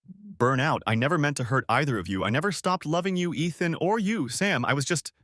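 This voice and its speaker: A man voicing a guilty, fragile wife who pleads tearfully and haltingly in a story. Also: medium pitch, big pitch swings, fairly bright tone, no echo, clean studio sound. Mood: desperate remorse. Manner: pleading, halting, sobbing delivery.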